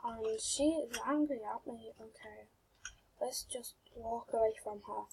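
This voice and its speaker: A woman giving quiet, awkward gameplay commentary on her channel, mumbling and giggling as she plays. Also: a little high, a little slow, creaky, slight background noise, low-quality recording. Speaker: gaming channel host.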